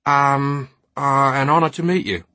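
Audiobook role: grumbling, unsure young church lad, raised pitch, done by a male reader. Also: steady tone, measured pace, pushed and bright, no echo. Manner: curt, unsure. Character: grumbling, hesitant young male altar boy.

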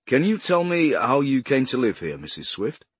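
A man's voice: medium pitch, very animated, normal speed, husky, dry recording, pitched above the performer's own voice. A man reading an audiobook as a police sergeant who questions in a terse, dryly polite way. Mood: probing.

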